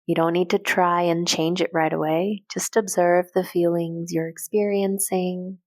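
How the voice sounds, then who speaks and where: A woman with a slightly low-set voice leads a guided meditation. Her delivery is gentle and calm. She gives soft instructions in short, measured phrases and never hurries.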